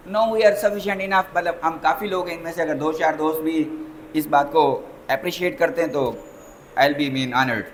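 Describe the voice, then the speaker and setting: A Pakistani man giving a lecture as a lawyer, deliberate and emphatic, slowing down when he mixes in English phrases. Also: high-pitched, a little hiss or hum, low-quality recording.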